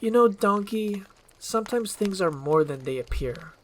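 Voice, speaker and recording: A performer voicing a gruff, grumpy, defensive male ogre in an acted screenplay reading. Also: mid-range voice, expressive, moderate pace, pitched above the performer's own voice, close to an everyday voice, poor audio. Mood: insistent.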